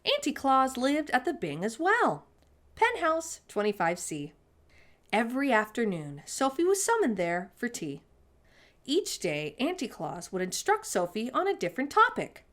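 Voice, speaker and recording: A woman's voice: a little high, huge pitch swings, a little slow, a bit bright. A woman hosting a children's story time, reading a storybook and addressing young listeners directly with exclamations.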